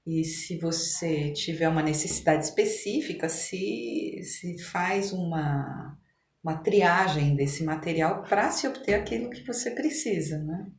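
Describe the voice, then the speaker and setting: A middle-aged woman talks conversationally as an interview guest, explaining things with pauses to search for words. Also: sits low. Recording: echoey room, clear background noise.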